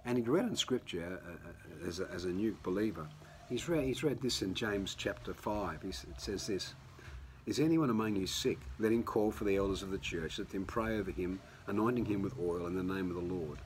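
A man, slightly hoarse, giving a conversational sermon recorded at home, often restarting his sentences. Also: sits low, brisk, darkish tone, a little hiss or hum. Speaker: pastor.

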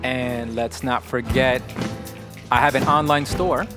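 A man's voice: medium pitch, fairly even intonation, slow pace, music in the background. Casual rambling male podcast host. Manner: rambling, restarts sentences. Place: podcast studio.

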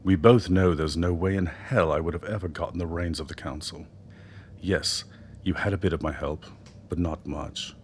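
An actor as a deep-voiced, silver-tongued older mentor. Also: male voice, close to an everyday voice. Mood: earnest, coaxing. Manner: eloquent, measured, grandiloquent. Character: older male mentor doctor, silver-tongued, persuasive political strategist. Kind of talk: radio drama acting. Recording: noticeable background noise.

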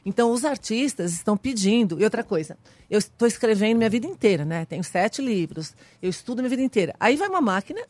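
A woman guest professor on a radio talk show, explaining calmly with examples. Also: fairly high pitch, fast talker, no room sound.

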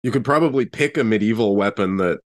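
A man's voice: fairly low pitch, fast. A man talking casually as a player in a tabletop roleplaying game, improvising what his character does.

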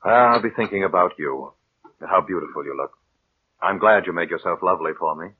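An American actor playing a bitter, fearful schemer with intense, dramatic delivery in mid-century radio suspense style. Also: male voice, deep voice, brisk, slight background noise, natural voice. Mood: tender, uneasy. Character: bitter, fearful man, scheming lover turned accuser. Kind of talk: 1940s-50s American radio suspense acting. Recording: muffled vintage radio recording.

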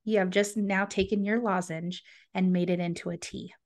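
A woman's voice: mid-range voice, fairly even intonation, normal speed, darkish tone. Chatty woman podcast co-host. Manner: chatty, enthusiastic, addresses listeners directly. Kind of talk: casual podcast chat.

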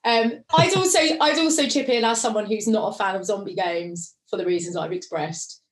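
A woman's voice: mid-range voice, normal speed. A woman co-hosting a podcast, talking casually and laughing easily. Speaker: podcast co-host. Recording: echoey room.

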